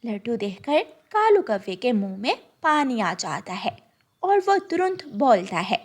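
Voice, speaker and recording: A woman storyteller narrates a children's cartoon from a script. Her voice is high, and her intonation moves in wide, lively sweeps. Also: a little slow.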